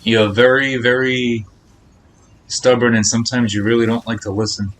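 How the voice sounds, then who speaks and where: A man's voice: mid-range voice, little pitch movement, normal speed, fairly bright tone, a little hiss or hum. A man livestreaming a game, talking casually and bluntly as he hands out advice to his chat. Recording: game music faintly behind the voice. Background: game audio and music.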